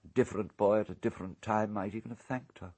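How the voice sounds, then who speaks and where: An actor playing an urbane, calculating English island owner in a radio drama, speaking in a measured, precise, coolly unrepentant way. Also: male voice, lowish voice, steady tone, darkish tone, husky. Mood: wry, knowing.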